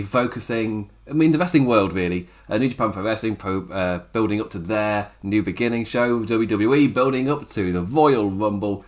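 Man, raspy strained voice, somewhat low, rambling podcast host, chuckles between thoughts. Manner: rambling, chuckles often, self-correcting. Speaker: wrestling show host. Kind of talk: casual podcast review.

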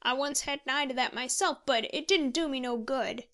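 A woman's voice: high-pitched, normal speed, sharp tone. A woman voicing a meek, resigned old man worn by sorrow, with mild rustic speech, in an audiobook story. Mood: wistful.

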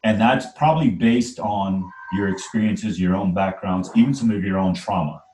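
Very deep-voiced man in his forties. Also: normal speed, a little mellow, reverberant, a little hiss or hum, rough recording. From Trinidad. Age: 40s. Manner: earnest, emphatic, keeps checking in with "right?". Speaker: guest. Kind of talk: conversational storytelling.

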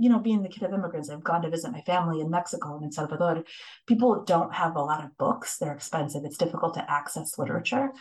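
A middle-aged woman reporter telling her story in a casual interview, quick and animated, with many restarts. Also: lowish voice, low-quality recording. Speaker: investigative reporter, guest.

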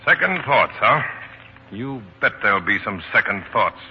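Low-voiced, wry American newspaperman. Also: very animated, moderate pace. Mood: wry, resentful. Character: principled, wry American newspaperman chafing under his boss. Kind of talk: 1940s radio acting. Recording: muffled, noisy 1940s radio recording.

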